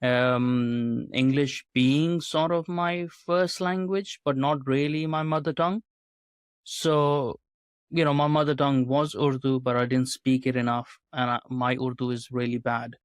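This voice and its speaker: A man's voice: mid-range voice, fairly even intonation, measured pace, clean tone, no room sound. Male podcast guest, earnest and conversational, dry close sound, habit of tagging "right?". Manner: earnest, often ends points with a questioning "right?". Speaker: podcast guest, writer and commentator.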